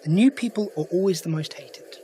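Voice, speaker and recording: An actor playing a sharp, principled alien adventurer in an audio drama, brisk and inquisitive. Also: male voice, high-pitched, a little hiss or hum, natural voice. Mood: thoughtful, brisk. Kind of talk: full-cast audio drama acting.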